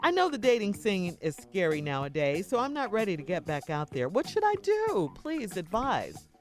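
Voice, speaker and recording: Expressive woman hosting radio. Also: medium pitch, normal speed. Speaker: radio show co-host. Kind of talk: radio hosting, reading letters and ads. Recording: faint music behind the voice. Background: soft music.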